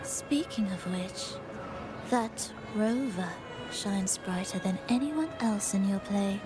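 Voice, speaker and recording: An elegant, aloof villainess from a video game, acted by a woman. She speaks slowly in a soft, breathy voice, theatrical and coolly teasing, with loud music underneath. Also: mid-range voice, natural voice.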